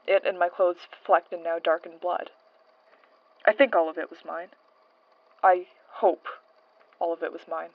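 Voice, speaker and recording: Woman playing a wry, low-pitched noir investigator, dulled sound. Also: no echo, dull audio, lightly acted. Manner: wry, world-weary, slangy. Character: hard-boiled female paranormal investigator in 1920s Toronto, wry. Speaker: investigator and narrator. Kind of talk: audio drama, noir-style recorded case statement.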